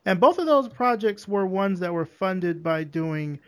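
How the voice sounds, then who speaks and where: A man hosting a podcast interview, relaxed, recapping what his guest said before asking a question. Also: sits high, unhurried. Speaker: podcast host.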